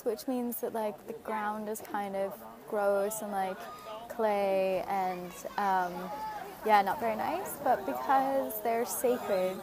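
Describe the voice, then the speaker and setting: A woman in her thirties vlogging outdoors while travelling, talking casually into a phone held at arm's length, rambling with lots of ums and likes. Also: fairly high pitch. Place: outdoors at scenic sites. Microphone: phone at arm's length with earphone mic.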